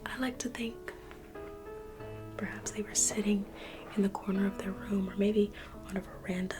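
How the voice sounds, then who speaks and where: A woman in an ASMR roleplay, playing a private museum guide in a slow, coaxing whisper that repeats phrases. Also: fairly even intonation, music in the background. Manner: coaxing sales-pitch tone.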